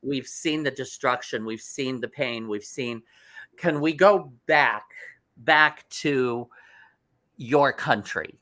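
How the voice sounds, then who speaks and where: A man co-hosts a chat show in a bright, forward voice. He asks questions in a loose, conversational way, circling back on his own phrases and dropping quick agreements. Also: medium pitch, dry recording. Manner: repeats phrases, affirms a lot. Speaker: co-host. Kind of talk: casual talk show interviewing.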